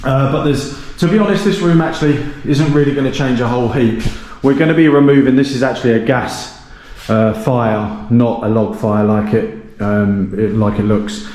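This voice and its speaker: A man's voice: mid-range voice, normal speed, hardly any pauses, darkish tone. A man hosting a renovation channel, giving a casual walkthrough tour of a gutted small cottage with plenty of ums and self-corrections. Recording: echoey bare rooms.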